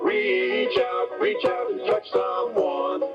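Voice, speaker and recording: A woman's voice: medium pitch, wildly swooping pitch, takes it slowly, lo-fi sound, over loud music. A woman performing a playful jingle and knock-knock patter in a vintage radio commercial.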